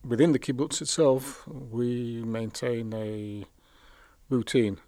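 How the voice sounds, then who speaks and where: A man living on a border community, calmly explaining daily life with measured, hesitant phrasing. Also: medium pitch, no echo, studio quality. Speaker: kibbutz resident. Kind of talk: casual interview answers.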